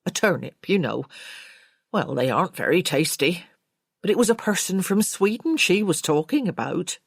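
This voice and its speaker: A woman's voice: on the low side, animated, normal speed, vocal fry, slightly rough, no room sound, clean studio sound, heavily acted. A woman voicing an artless, talkative servant girl with naive, colloquial speech in an audiobook. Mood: earnest.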